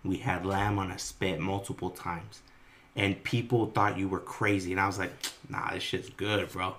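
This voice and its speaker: Lowish guy on a podcast, rambly animated stories, swears a lot. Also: moderate pace. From Greek-American family. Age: adult. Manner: animated, profane storytelling, swings between loud and quiet. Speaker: podcast co-host. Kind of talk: casual chat.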